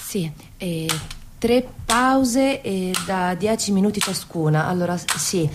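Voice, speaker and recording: A woman playing an earnest, hesitant factory worker as a quiz contestant, explaining haltingly in a satirical radio play. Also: mid-range voice, noisy background, natural voice. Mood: weary, earnest.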